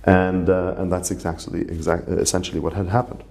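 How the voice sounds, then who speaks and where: A middle-aged man with a very low voice talks as an interview guest. He grew up in Saudi Arabia and rambles, filling his answers with fillers and restarts. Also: flat delivery, a little fast, vocal fry, darkish tone. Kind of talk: conversational interview.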